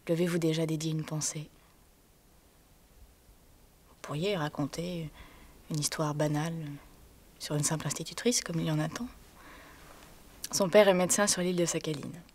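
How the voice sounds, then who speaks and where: An actress delivering a theatrical monologue in a hushed voice that often drops to a whisper, pausing as she tells a story. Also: low voice, steady tone, slight background noise.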